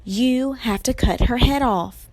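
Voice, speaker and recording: A clever, bossy young heroine acted by a woman narrator, pitched high above a normal reading voice. She sounds urgent and insistent, in a dry storybook recording. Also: slow pace, darkish tone, no room sound.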